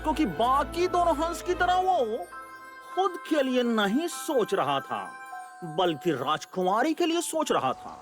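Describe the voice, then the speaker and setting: A man voicing a sentimental, theatrical cartoon animal storyteller with a romantic heart and a drawn-out delivery. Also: very high voice, very animated, over music, full character voice. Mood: storytelling.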